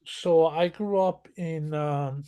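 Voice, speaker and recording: A man's voice: a little high, fairly even intonation, slow pace, dark tone, smooth. A man from northern Mexico, a guest telling his family history in a rambling, detailed way with many restarts. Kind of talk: conversational interview.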